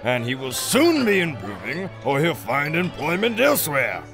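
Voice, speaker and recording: Pompous, theatrical English lord, swooping pitch. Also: male voice, huge pitch swings, music in the background. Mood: indignant. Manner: pompous, theatrical, drawn-out words. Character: pompous, snobbish English lord hosting a reception. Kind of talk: audio adventure drama acting.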